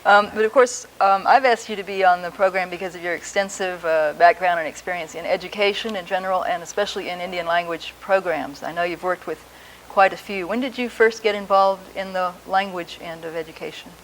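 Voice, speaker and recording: A woman's voice: lowish voice, brisk, a little hiss or hum, poor audio. A woman hosting a broadcast interview, asking polite questions and giving frequent encouraging listening sounds. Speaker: host.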